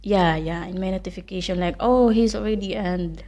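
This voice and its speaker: A woman with a Filipino accent whose first language is Tagalog. She chats as a guest on a livestream in halting English and laughs often. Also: on the low side, warm tone. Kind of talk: casual livestream chat.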